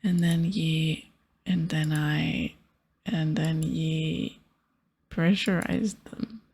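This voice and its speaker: Bossy old-salt pirate captain played by a woman, video game, breathy ASMR whisper, piratical turns of phrase. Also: low voice, fairly even intonation, very slow, darkish tone, heavily acted. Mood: bossy, explaining. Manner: piratical dialect, explaining and ordering.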